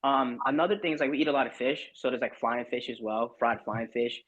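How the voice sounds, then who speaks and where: A man's voice: mid-range voice, brisk, dull audio. A young man from Barbados, a podcast guest, chatting casually and loosely with lots of fillers and restarts. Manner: relaxed, rambling with many fillers. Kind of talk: casual chat.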